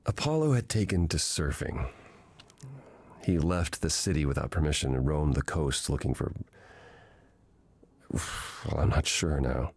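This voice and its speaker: An actor playing a gentle, melancholy god in a video game, speaking in a measured, formal and earnest way. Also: male voice, rumbling low, lively intonation, vocal fry, slightly rough, no echo, exaggerated voice. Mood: wistful. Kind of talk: video game dialogue acting.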